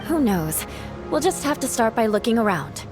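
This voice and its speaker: A woman voicing a composed, reserved knight in a video game, calm and measured. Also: on the high side. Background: game music.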